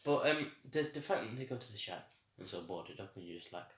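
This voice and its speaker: A man co-hosting a podcast, chatting with eager enthusiasm. His voice sits a little low but sounds bright and forceful. The room is noticeably echoey. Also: expressive, fast talker, slight background noise. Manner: enthusiastic, laughs often, acts out reactions. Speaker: podcast co-host. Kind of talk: casual review chat.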